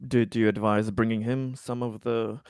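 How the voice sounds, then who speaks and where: A man playing a tabletop roleplaying game, improvising conversation in character with a polite, formal and halting manner. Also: on the low side, little pitch movement, darkish tone, no echo, clean studio sound.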